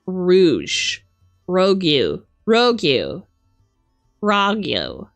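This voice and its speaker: A woman's voice: fairly low pitch, wildly swooping pitch, very slow, no echo, faint music behind. A woman doing casual livestream commentary as host, playful and theatrical, mixing gushing exclamations with dry asides. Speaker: streamer hosting a live book look-through.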